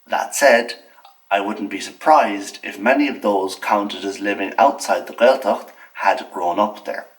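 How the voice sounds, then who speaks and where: A man with an Irish accent, a native Irish speaker, reading a scripted commentary in a measured, earnest, argumentative way. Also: lowish voice, far from the mic, poor audio. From Ireland. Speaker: video essayist.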